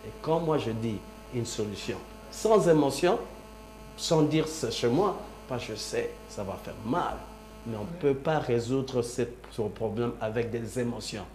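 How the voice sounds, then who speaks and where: A man's voice: mid-range voice, darkish tone. A man from DR Congo with a Congolese accent, speaking personally and insistently as a panelist in a political debate, often repeating his phrases. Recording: very echoey room with faint hum.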